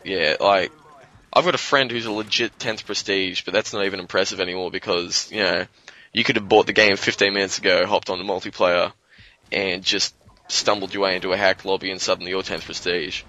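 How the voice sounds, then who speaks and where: Young Australian man, low voice with a forceful bright edge, slightly hoarse, loose rambling gamer talk. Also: fairly even intonation, fairly quick, lo-fi sound. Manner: rambling, loose, swears freely. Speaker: guest commentator. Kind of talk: casual gaming commentary.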